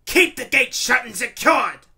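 A man voicing a hot-tempered, sneering military officer with a raspy voice, in a storybook reading. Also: extremely high pitch, animated, a little slow, pushed and bright, voice pushed up, exaggerated voice. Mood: commanding.

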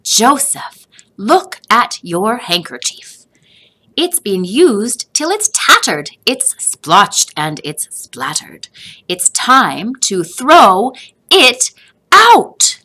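A woman voicing an exasperated, bossy storybook mother who scolds her young son in an emphatic, drawn-out way. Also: fairly high pitch, sing-song, sharp tone, breathy edge, voice pushed up, full character voice.

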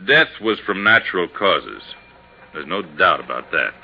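A man's voice: deep voice, very animated, a little slow, gravelly, exaggerated voice. An American man acting a laconic, plain-spoken police sergeant in a 1950s radio drama, terse and matter-of-fact. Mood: insistent. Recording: muffled, noisy 1950s radio recording.

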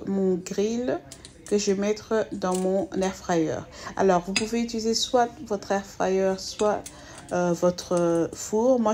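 A woman's voice: mid-range voice, darkish tone. Woman, cooking host, chatty, hesitant fillers, faint background noise. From Belgium. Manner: chatty, hesitant with frequent fillers, addresses viewers as friends. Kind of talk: casual cooking voice-over.

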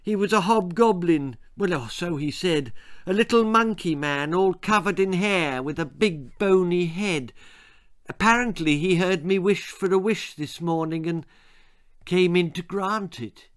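Man putting on a high, bubbly excited mum. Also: measured pace, clean tone. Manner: bubbly, breathless with delight. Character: Spike's giggly, bubbling, excited mother, adult woman.

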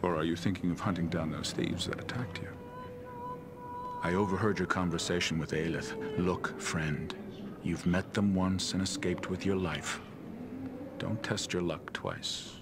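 Deep, gravelly fantasy tavern regular. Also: male voice, unhurried, noisy background. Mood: wary, warning. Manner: cautioning, familiar. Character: gruff but friendly middle-aged tavern regular, wary. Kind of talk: fantasy video game dialogue.

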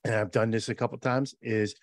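A man in his forties, a programmer co-hosting a casual podcast over a video call, explaining his views earnestly in quick bursts, wearing headphones at a podcast mic. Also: mid-range voice, flat delivery, dry recording, studio quality. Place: home office on a video call.

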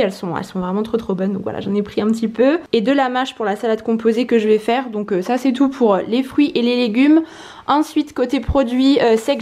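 Higher-voiced woman vlogging casually. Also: mellow. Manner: chatty, conversational. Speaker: lifestyle and cooking vlogger. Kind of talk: casual vlog narration, talking viewers through food. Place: home kitchen, indoors.

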